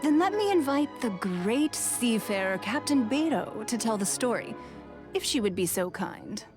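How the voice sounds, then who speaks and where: A woman's voice: on the high side, very animated, over music. Refined, archly polite noblewoman. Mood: teasing. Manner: formal, measured, archly polite. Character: refined, teasing noblewoman official, composed and sly. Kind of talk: anime fantasy game voice acting.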